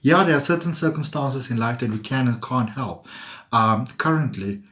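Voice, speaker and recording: A man's voice: mid-range voice, expressive, normal speed, a bit bright. A man giving a playful, chatty tarot reading, laughing often and talking to viewers. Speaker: tarot reader. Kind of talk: casual tarot reading monologue.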